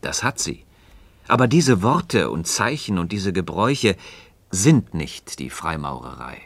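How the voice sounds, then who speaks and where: An actor playing a calm, cryptic Freemason in a radio dialogue, speaking formally and deliberately. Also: male voice, low voice, gravelly, dry recording, heavily acted. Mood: earnest, assured. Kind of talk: German radio play dialogue.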